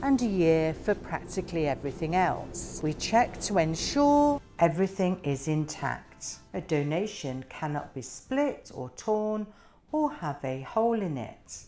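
A woman guiding a warehouse tour, explaining each area in a slow, plain way. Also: lowish voice, sing-song, dark tone. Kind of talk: guided tour narration.

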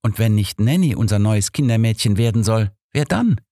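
Audiobook woman played by a man: eager, cheerful, insistent, kindly, raised pitch. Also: lively intonation, moderate pace, mellow, no room sound, studio quality. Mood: eager, persuasive. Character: eager, kind-hearted, determined woman. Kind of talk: audiobook character dialogue.

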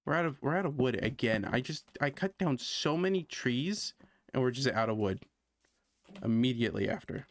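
Chatty Canadian gaming streamer. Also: male voice, mid-range voice, lively intonation, normal speed. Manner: chatty, self-deprecating, thinking aloud. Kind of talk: casual gameplay commentary.

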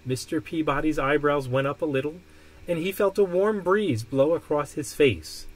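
Higher-pitched man reading a storybook. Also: normal speed, rough recording. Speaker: storybook reader. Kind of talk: expressive read-aloud of a children's picture book. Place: indoor room.

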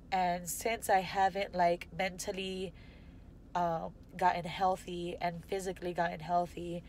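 A young woman with a congested, nasal voice talks through her thoughts in an unscripted vlog, rambling and full of fillers. Her voice sits a little low for a woman. She is filmed on a phone at arm's length inside a car, with faint ambient noise behind her. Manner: rambling, candid, lots of "like". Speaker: vlogger. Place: parked car, indoors. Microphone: phone camera at arm's length.

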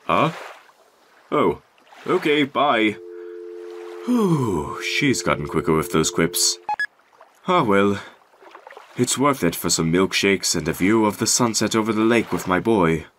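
A man voicing a big, gentle goat monster father in a comic dub, with a folksy, kindly, thoughtful way of talking. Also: lowish voice, animated, takes it slowly, long pauses, noisy background. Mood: cheerful. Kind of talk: animated comic dub voice acting.

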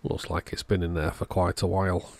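Deep-voiced, gravelly man narrating repairs. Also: little pitch movement, fairly quick, no room sound. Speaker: host, electronics repairer. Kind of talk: casual repair video narration.

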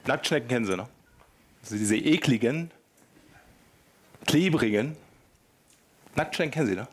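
A man in his fifties speaks at a medium pitch. He presents at a conference in an informal, playful way, often turning to his listeners with questions. He is picked up on a headset mic. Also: expressive, normal speed. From Germany (Hanover). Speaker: keynote speaker, professor. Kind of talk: lively conference talk to an audience. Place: large hotel conference room.

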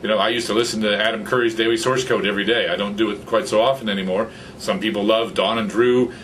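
A man's voice: fairly low pitch, fast talker, a little hiss or hum, poor audio. A man in his fifties, a podcaster, chatting animatedly in an office interview, recorded on a studio microphone. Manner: animated, gestures a lot, gets excited. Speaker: podcaster and guest. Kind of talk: casual interview chat. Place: small office with computers. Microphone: studio condenser mic on stand in front.

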